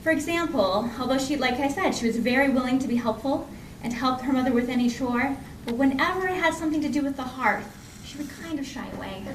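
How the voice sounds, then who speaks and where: Recorded from a distance in a reverberant room, a woman tells a folk tale aloud to listeners. Her voice sits high, and she is expressive yet conversational, pausing and repeating phrases for effect. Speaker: storyteller. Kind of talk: live oral folk tale storytelling. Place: indoor library program before an audience. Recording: echoey room from far off, faint noise.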